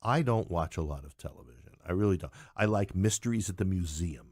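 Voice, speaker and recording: A man's voice: low voice, expressive, brisk, a little mellow, no echo, clean studio sound. A middle-aged man from New York City talking as a podcast guest, opinionated and emphatic, repeating phrases for stress. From New York City, United States. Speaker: podcast guest, playwright and English professor. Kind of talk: conversational interview, opinionated.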